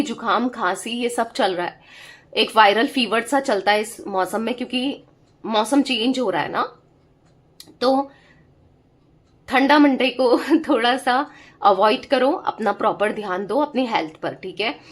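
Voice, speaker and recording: High-voiced woman reading tarot with a sore throat. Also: a little slow, long pauses. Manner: emphatic, repetitive, talks straight to the viewer with frequent "theek hai?". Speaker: tarot reader. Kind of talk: direct-to-camera tarot reading.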